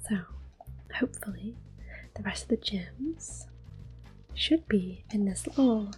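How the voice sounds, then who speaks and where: A woman giving gentle, unhurried ASMR game commentary in a soft, breathy voice that often drops to a whisper. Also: medium pitch, low-quality recording. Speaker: gameplay host. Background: video game music.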